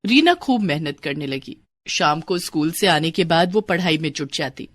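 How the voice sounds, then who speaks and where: A woman narrating a moral storybook tale in a scripted, expressive way. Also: deep voice, moderate pace. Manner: expressive, acts out all characters.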